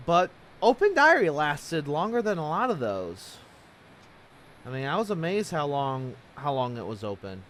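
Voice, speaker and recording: A man's voice: fairly high pitch, very animated, normal speed, a bit bright, a little hiss or hum. A man hosting a casual interview, talking informally and sharing his opinions in a rambling way. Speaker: interviewer and online video creator.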